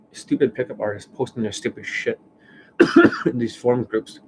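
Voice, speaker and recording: A man's voice: mid-range voice, animated, unhurried, slight background noise. Ranting man with a tired voice. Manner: blunt, ranting, swears often. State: losing his voice, coughing. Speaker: dating coach. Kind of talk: livestream monologue. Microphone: desk microphone.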